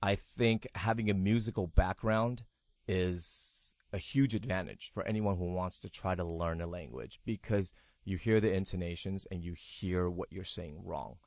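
A man, speaking as an interview guest in a relaxed, plain-spoken way. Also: low-pitched, normal speed, no room sound, clean studio sound. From Taiwan. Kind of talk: casual conversation.

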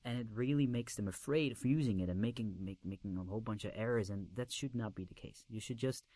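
A man's voice: on the low side, fast talker, mellow, dry recording. A man from Belgium whose first language is Dutch, speaking English modestly as a podcast guest and often repeating words while he thinks. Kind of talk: casual interview chat.

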